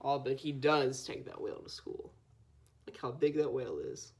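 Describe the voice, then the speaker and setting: A young female Scout reading a children's picture book aloud, with expressive storytelling. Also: extremely low pitch, moderate pace. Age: child or teenager. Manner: expressive storytelling with acted dialogue. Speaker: Scout volunteer reader.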